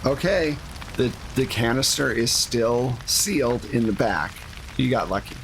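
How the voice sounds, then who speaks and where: A man's voice: mid-range voice, lively intonation, measured pace, vocal fry, background noise. A man running a tabletop game, improvising narration and chatting casually and jokily with players. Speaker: game master.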